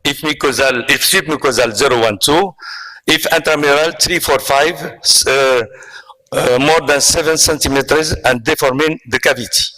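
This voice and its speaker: A man from Morocco with a Moroccan accent, giving a medical lecture at an online conference in a halting way, pausing often. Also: medium pitch, sharp tone, low-quality recording. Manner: halting, with frequent pauses and hesitations. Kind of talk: medical presentation.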